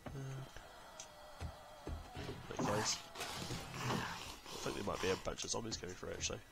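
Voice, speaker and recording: A man's voice: fairly low pitch, normal speed, gravelly, over loud music, poor audio. Chatty New Zealand man gaming. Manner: rambling, chatty, talks to himself while playing. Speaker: gaming channel host. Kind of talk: casual let's-play commentary.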